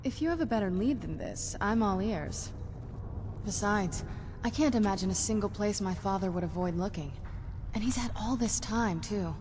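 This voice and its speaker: A woman's voice: medium pitch, moderate pace, noisy background, natural voice. An actress voicing a young vampire noblewoman in a video game, earnest and guarded, in a pondering, conversational way. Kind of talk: naturalistic video game voice acting.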